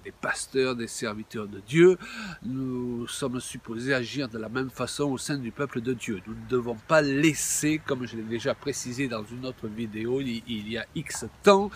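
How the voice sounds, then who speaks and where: Animated man in his sixties preaching. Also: mid-range voice, slight background noise. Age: 60s. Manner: animated, exclaiming, with many fillers. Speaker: pastor. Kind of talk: video sermon.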